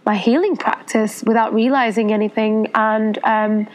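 A woman in her thirties, born in England, recounts her life on a podcast in a flowing, earnest way, circling back and restarting her sentences. Her voice sits a touch higher than most women's. She speaks at home in a quiet setting. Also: steady tone, normal speed, poor audio. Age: 30s. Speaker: podcast guest, life coach. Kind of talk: casual interview. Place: her home in Bali at sunset.

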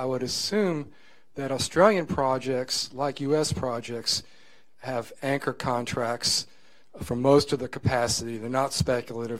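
A man at a conference panel, asking measured follow-up questions of the speakers. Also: mid-range voice.

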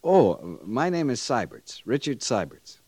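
An actor playing an earnest young cavalry lieutenant in a radio drama, with a polite, gentle, formal manner. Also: male voice, medium pitch, sing-song, unhurried, breathy edge, no echo. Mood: kind, bashful. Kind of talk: 1950s radio drama acting. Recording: hissy vintage radio recording.

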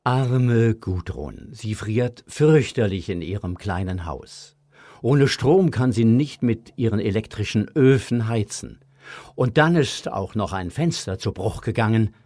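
A man's voice: on the low side, animated, measured pace, mellow. A man narrating a scripted children's audio story as the storyteller.

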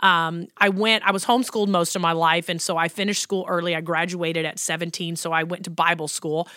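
Low bright-voiced woman telling her story. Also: flat delivery, a little fast, clean tone, no echo, studio quality. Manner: chatty, frequent ums and likes. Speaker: worship pastor and podcast host. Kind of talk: casual personal storytelling.

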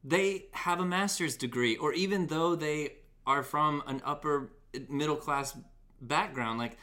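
A man's voice: on the high side, pushed and bright. Oprechte man met een heldere stem. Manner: earnest, halting, with many restarts. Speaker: podcast host. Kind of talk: casual podcast conversation.

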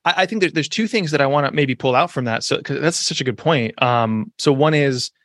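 Fast-talking man, emphatic and dry-miked. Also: medium pitch, fairly bright tone, no room sound. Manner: fast, emphatic, lots of restarts and "like". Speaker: podcast guest, author and speaker. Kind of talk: conversational interview.

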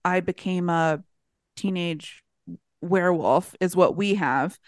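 A woman's voice: fairly low pitch, slow, no room sound, studio quality. A woman co-hosting a podcast, chatting casually with an enthusiastic, wry and informal manner. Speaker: podcast co-host. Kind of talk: casual chat about a movie.

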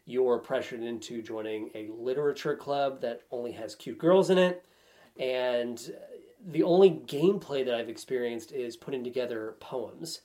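A man's voice: medium pitch, normal speed, dark tone. Wry man chatting casually about games. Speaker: podcast guest.

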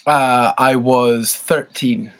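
A man in his thirties from Scotland with a Scottish accent, chatting relaxed and self-deprecating as a podcast guest. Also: medium pitch, extremely slow. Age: 30s. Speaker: podcast guest, band bassist. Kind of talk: casual interview chat.

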